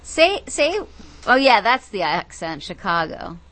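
A woman's voice: medium pitch, big pitch swings, a little slow, fairly bright tone. A woman co-hosting a radio show, easygoing and asking the callers questions. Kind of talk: casual radio chat.

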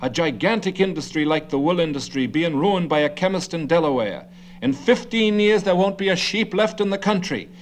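A man's voice: on the high side, lively intonation, normal speed, slight background noise, close to an everyday voice. An actor playing a fussy, condescending older man who chatters and lectures endlessly. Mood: chattering. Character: fussy know-it-all older man, gabby.

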